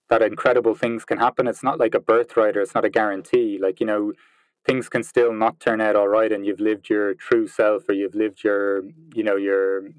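A man in his late thirties, talking reflectively in an interview, pausing and restarting often as he searches for words. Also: fairly low pitch, clear, resonant tone, no echo, poor audio. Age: 30s. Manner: thoughtful, hesitant, many restarts and fillers. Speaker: interview guest.

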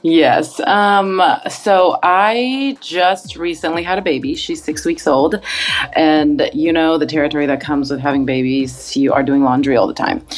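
Low-voiced young woman telling a story. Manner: animated storytelling. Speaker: podcast guest. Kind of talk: personal anecdote.

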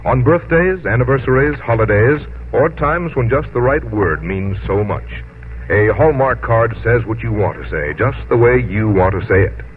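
American vintage radio announcer, narrow sound. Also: male voice, mid-range voice, expressive, moderate pace. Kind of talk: polished 1950s radio announcing and ad reading. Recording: narrow-band 1950s radio, faint music.

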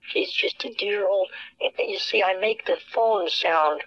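A man voicing a sweet elderly grandmother, speaking slowly and frailly through an aged telephone sound. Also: sits high, a bit bright, dry recording, voice pushed up, exaggerated voice. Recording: dull lo-fi phone sound.

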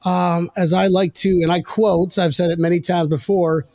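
A man with a high-pitched voice and a dry, close sound hosts a sports podcast. He talks casually, joking at his own expense and swearing freely. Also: little pitch movement, normal speed, darkish tone, no echo. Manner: jokey, self-deprecating, profane. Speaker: podcast host.

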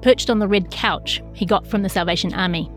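A woman's voice: mid-range voice, brisk, soft background music. A woman with a New Zealand accent reading a scripted news feature as a journalist. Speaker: journalist narrating a feature story. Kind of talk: scripted narration.

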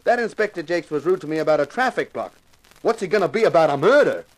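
A man plays a jumpy ham actor in a fifties radio mystery. His voice leaps up and down in pitch, stammering and protesting with little courage to spare. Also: expressive, fast talker, noisy background, close to an everyday voice. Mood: nervous, indignant. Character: nervous, cowardly male actor who plays a TV detective. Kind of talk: 1950s radio drama acting.

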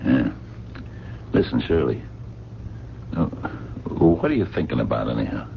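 A gruff, suspicious American diner owner in a vintage radio play. His voice is very low and swings widely as he talks in blunt working-class slang. The recording is dull and slightly noisy. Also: sing-song, measured pace, full character voice. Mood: skeptical. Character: gruff, streetwise, suspicious but soft-hearted diner-owner brother. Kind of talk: 1970s radio drama acting.

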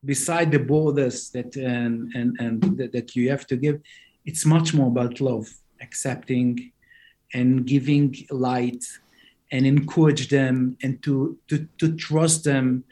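A man, a rabbi, speaking as a guest, with an of Yiddish and many halting restarts. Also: medium pitch, fairly even intonation, dark tone. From Israel. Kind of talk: interview answers.

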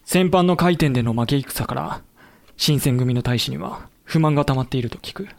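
An actor playing a thoughtful samurai official who narrates a war in measured, explanatory audio drama style. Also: male voice, mid-range voice, vocal fry, natural voice. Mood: concerned. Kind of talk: scripted dramatic narration and acting.

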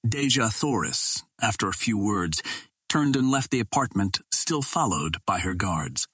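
A man's voice: fairly low pitch, very animated, unhurried, a bit bright, slightly rough, dry recording, clean studio sound. A man reading a pulp fantasy adventure audiobook as scripted narration.

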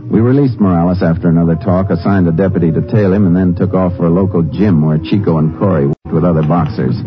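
Low-voiced, terse Texas Ranger played by an actor. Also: male voice, fast, music in the background, lightly acted. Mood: matter-of-fact narration. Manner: terse, matter-of-fact questioning. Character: steady, shrewd Texas Ranger investigator, adult man. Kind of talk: 1950s radio drama acting, with first-person case narration. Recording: muffled, noisy old radio recording.

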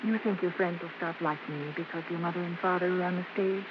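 An actress playing a gentle, soothing mother who works on the stage, in vintage radio drama style. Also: lowish voice, fairly even intonation, fast talker, background noise, close to an everyday voice. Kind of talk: 1940s-50s radio drama acting. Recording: dull vintage radio recording with music.

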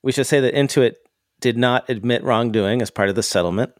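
A man co-hosting an accounting podcast in casual chat, explaining the news in a measured way while a bit stuffed up. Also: mid-range voice, no room sound, studio quality. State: stuffed up from a cold. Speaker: podcast co-host.

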